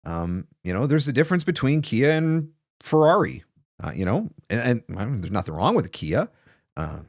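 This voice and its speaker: A man's voice: medium pitch, sing-song, moderate pace, no echo. A man in his forties talking casually and emphatically as a livestream host and coach, close on a desk microphone in a small home studio. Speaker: host, voice-over marketing coach. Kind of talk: casual livestream advice talk, answering comments.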